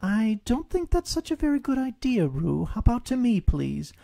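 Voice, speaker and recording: A man voicing a gentle, concerned kangaroo mother in a storybook reading. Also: extremely high pitch, big pitch swings, normal speed, creaky, voice pushed up, exaggerated voice. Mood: gently concerned. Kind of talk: audiobook storytelling.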